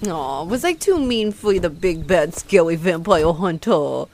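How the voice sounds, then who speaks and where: A woman's voice: on the low side, expressive, unhurried, darkish tone, a little hiss or hum. A woman playing a haughty, sarcastic female vampire in a roleplay audio drama, mocking and theatrical as she taunts her rival. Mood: gleefully mocking.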